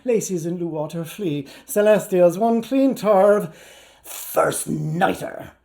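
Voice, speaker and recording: A man with an Irish accent giving an animated, declamatory stage reading of a novel, playful with the wordplay and shifting between hushed and loud delivery. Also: high voice, slow pace, creaky. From Ireland or Canada. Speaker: actor performing a literary reading. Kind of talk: theatrical live reading of a novel.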